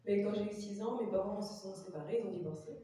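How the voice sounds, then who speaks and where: Young Belgian woman, halting church testimony, dark tone, distant mic, very echoey chapel. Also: medium pitch, a little hiss or hum. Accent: Belgian French. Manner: hesitant, many fillers. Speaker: testimony giver. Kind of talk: personal testimony in church, interpreted.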